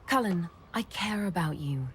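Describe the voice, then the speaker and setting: A lowish-voiced, caring heroine. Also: female voice, expressive, normal speed, a bit bright, slight background noise. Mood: tender, reassuring. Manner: tender, composed. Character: caring, resolute heroine, young adult female leader. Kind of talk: dramatic video game dialogue.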